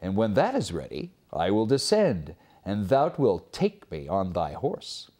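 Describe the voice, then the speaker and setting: A man's voice: sits low, huge pitch swings, unhurried, dark tone, breathy edge, pitched below the performer's own voice, full character voice. A man voicing an innocent, earnest young maiden in a storybook fairy tale. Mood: earnest, willing.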